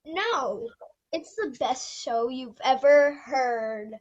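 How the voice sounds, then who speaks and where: A woman's voice: high-pitched, animated, drawn-out words, very noisy, exaggerated voice. A woman playing a matter-of-fact, know-it-all young grandchild in an amateur radio play. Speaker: student radio play performer.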